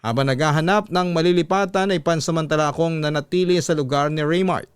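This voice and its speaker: A man's voice: a little high, quick pace, clean tone, dry recording, studio quality. A man narrating a scripted first-person story as the host of a radio drama.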